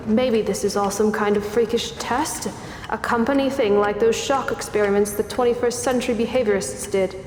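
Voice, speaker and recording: A woman's voice: on the high side, fairly even intonation, normal speed, a little mellow, a little hiss or hum, natural voice. An actress playing a wary, defiant young female citizen in a radio drama, guarded and tense. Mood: anxious. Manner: tense self-talk, guarded. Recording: roomy reverberant sound with faint music.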